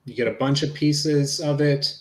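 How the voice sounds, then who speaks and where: A man's voice: a little high, steady tone, moderate pace, close to an everyday voice. A man reading the part of a patient investigator in a police interview, explaining things plainly and casually. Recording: roomy, echoey sound.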